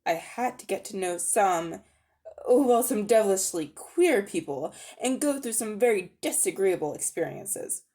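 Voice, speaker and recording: Storybook uncle: elderly, pompous amateur magician, very animated delivery female reader playing male. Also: mid-range voice, measured pace. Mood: self-satisfied. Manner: pompous, self-important, easily affronted. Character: vain, pompous, grey-haired old uncle, an amateur magician.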